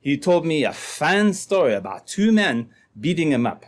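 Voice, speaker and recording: Radio drama trapper, male actor: French accent, earnest and insistent, very lively intonation, stilted dramatic delivery. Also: fairly high pitch, moderate pace. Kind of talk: old-time radio drama acting.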